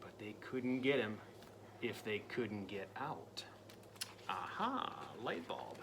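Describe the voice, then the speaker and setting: A man reading a picture book aloud to a child, dramatic and playful, dropping into spooky whispers and jumping to loud cries. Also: on the low side, sing-song, slow pace, creaky, background noise. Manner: playful asides to the listening child. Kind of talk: storybook read-aloud.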